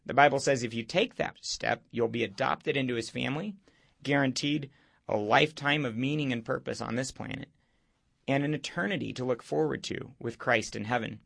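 Raspy gravelly guy preaching on his radio show, earnest, dead dry studio. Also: mid-range voice, moderate pace, a bit bright, no echo. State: hoarse. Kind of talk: evangelistic radio talk.

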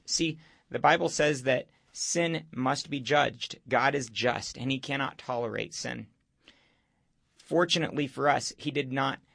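A male radio show host, hoarse this morning, speaking in an earnest, preaching way. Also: mid-range voice, fairly bright tone, dry recording, studio quality. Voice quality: gravelly. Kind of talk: evangelistic radio talk.

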